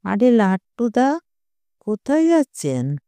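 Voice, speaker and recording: Smooth-voiced, cheeky teenage girl, as a narrator reads her. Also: medium pitch, sing-song, slow, mellow, no echo. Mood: playful. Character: playful, cheeky teenage girl greeting an older acquaintance.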